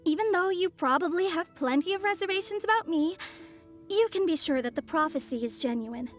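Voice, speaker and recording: An actress voicing a poised, mysterious woman from abroad in a video game, speaking in a smooth and teasing way. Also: very high voice, expressive, fairly quick, faint music behind. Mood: sly.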